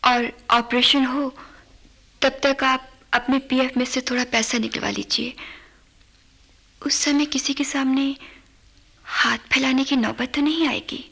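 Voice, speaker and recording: A practical, fretful wife in a radio drama. Her voice is high and sharp-edged, and she speaks slowly, with careful hesitation. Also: steady tone, creaky, exaggerated voice. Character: practical, worried wife fretting over household money. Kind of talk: radio drama acting in Hindi.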